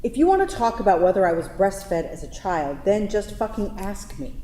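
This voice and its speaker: A woman's voice: medium pitch, very animated, normal speed, warm tone, natural voice. A woman reading the part of a blunt, confident lover in a staged play, frank and sardonic in manner. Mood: indignant. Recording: echoey theatre hall, faint noise.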